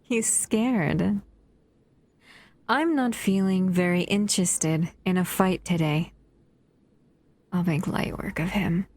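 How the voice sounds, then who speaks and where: A possessive monster woman, voiced by a woman, leans in close with a breathy, husky half-whisper. She talks slowly and sweetly, her voice sitting low for a woman. Every word is doting and a little controlling, like a soft-spoken audio roleplay. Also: no room sound. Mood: coolly confident. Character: doting possessive female monster escaping a cell.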